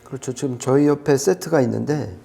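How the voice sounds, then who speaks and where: A man's voice: medium pitch, a little fast, gravelly, warm tone, soft background music. A man explaining products conversationally as an audio reviewer, with an emphatic manner.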